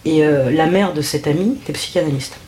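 A woman's voice: sits low, warm tone, a little hiss or hum. Thoughtful French woman, hesitant speech. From France. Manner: thoughtful, measured, with frequent hesitations. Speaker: journalist, interviewee. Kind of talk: reflective interview testimony.

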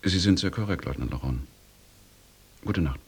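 An actor playing a polite, probing officer in a German radio drama, speaking formally with a husky voice. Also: male voice, sits low, expressive, moderate pace, heavily acted. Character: probing, polite young officer questioning his comrades.

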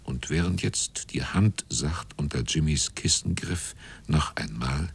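An actor narrating a radio drama as a grave seaman, with a husky, often hushed delivery. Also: a bass voice, slow pace, heavily acted. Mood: sombre, suspenseful.

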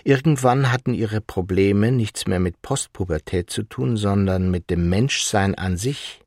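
Male narrator for literary audiobook, very low and husky, dry studio sound. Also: unhurried, darkish tone, no echo. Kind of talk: scripted literary narration in second person.

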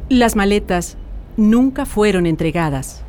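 A woman's voice: medium pitch, lively intonation, unhurried, darkish tone, slight background noise, natural voice. A woman narrating a radio docudrama in a solemn, scripted style. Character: solemn female narrator recounting the dead. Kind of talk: scripted documentary drama narration.

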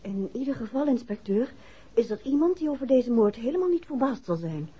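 A woman playing a poised, outspoken detective's wife in a 1960s radio play, speaking pointedly and deliberately. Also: a little high, sing-song, husky, full character voice. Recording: muffled 1960s radio recording.

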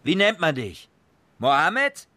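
Radio play: a sardonic interrogating sergeant, commanding, lively intonation, close dry sound. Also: male voice, on the high side, slow pace, no echo, full character voice. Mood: stern, sarcastic. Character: bullying, sarcastic police sergeant interrogating suspects. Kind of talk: radio crime drama acting.

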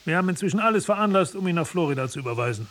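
A cold, impatient army officer and doctor in a radio drama. His voice sits high for a man, and he speaks in a clipped, formal, commanding way. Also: animated, very fast, fairly bright tone. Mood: cold, firm.

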